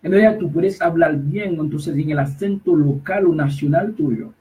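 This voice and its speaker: High-voiced emphatic man preaching from afar. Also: dark tone, recorded from a distance, low-quality recording. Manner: emphatic, repetitive, halting with restarts. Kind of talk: preaching commentary.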